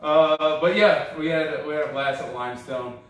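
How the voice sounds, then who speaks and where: A man hosting a comedy podcast, chatting casually while tasting beer, relaxed with lots of fillers. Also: on the high side, normal speed. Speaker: comedy show host. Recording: roomy echo, from a distance.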